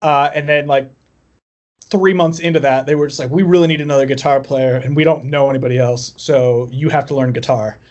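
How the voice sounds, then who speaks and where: A man's voice: medium pitch, steady tone, brisk, darkish tone, dull audio. A man chatting casually as a musician guest on a podcast, telling personal stories in a wry, self-deprecating way. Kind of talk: casual chat, personal storytelling.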